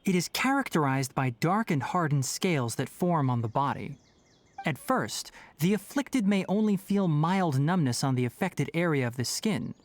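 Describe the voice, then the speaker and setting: An actor playing a calm, scholarly young male forest ranger in a video game, speaking in an articulate, measured way. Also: on the high side, natural voice. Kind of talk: scripted video game dialogue. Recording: music behind the voice.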